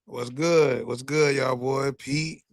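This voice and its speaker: A man's voice: mid-range voice, steady tone, slow pace, no echo. A man guesting on a sports podcast, talking in slangy banter and laughing often. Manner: slangy, laughs a lot, says "you know what I'm saying". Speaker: guest host of another show.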